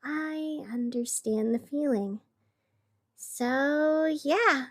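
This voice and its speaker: A woman's voice: high-pitched, drawn-out words. A woman playing a chatty, weary radio host of a gloomy fictional city, narrating in a conversational, dramatic, wry way. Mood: wry, downcast. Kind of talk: scripted fiction podcast narration as a radio broadcast.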